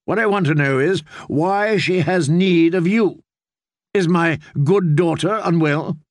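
Audiobook figure: scornful commanding queen, man reading her, unhurried, cutting, close dry booth. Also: a little high, no echo, pitched above the performer's own voice, natural voice. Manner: cutting, mocking, interrogating. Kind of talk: audiobook character voice.

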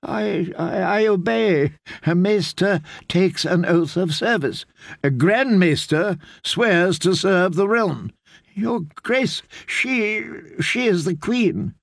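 A man's voice: high-pitched, slow pace, no echo, studio quality, pitched above the performer's own voice, full character voice. A man voicing a frightened elderly male court healer who stammers and pleads in an audiobook. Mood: fearful. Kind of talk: audiobook character voice.

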